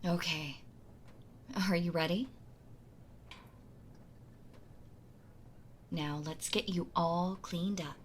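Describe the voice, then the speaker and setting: Woman voicing a yandere wife, audio roleplay, slightly low pitch, unhurried delivery, doting, possessive, condescending, sweetly menacing. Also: steady tone, a little hiss or hum, close to an everyday voice. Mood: gentle, coddling. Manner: slow, coddling, condescending, with sighs and soft laughs. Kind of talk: intimate audio roleplay acting.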